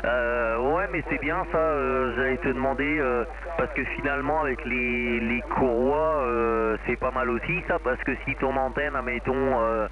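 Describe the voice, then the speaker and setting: A man's voice: medium pitch, unhurried, few pauses. A man chatting casually over amateur radio, hesitant and circling around his explanations. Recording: dull, noisy radio reception.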